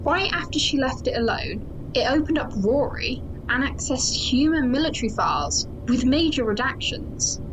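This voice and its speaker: Sci-fi audio drama: female security chief, higher register, rigid, suspicious, formal, with clear ambient noise behind. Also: animated, moderate pace. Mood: insistent, suspicious. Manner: clipped, formal, officious. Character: suspicious, hard-line female security chief, military officer.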